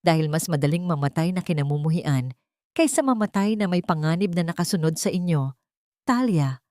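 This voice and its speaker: A woman's voice: lowish voice, animated, a little fast, warm tone, no room sound, studio quality, natural voice. A woman voicing an ailing middle-aged mother in a drama story, weak, pleading and sorrowful. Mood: anguished confession.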